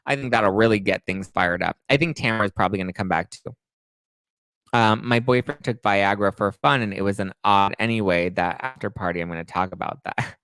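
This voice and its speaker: A man's voice: on the low side, a little fast, fairly bright tone, smooth, no echo, rough recording. A man in his late twenties hosting a live stream, reading viewer comments aloud and answering them in a candid, chatty way. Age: 20s. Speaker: podcast host reading live chat. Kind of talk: casual live-stream chat.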